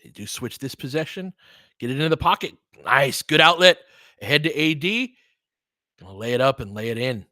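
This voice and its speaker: A man with a bright, forceful voice of medium pitch commentates on basketball as a livestream host. His delivery is informal and opinionated, moving between clipped play calls and loose chat with listeners. The sound is close and dry. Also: lively intonation, a little fast, no room sound. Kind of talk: casual fan play-by-play commentary mixed with chat.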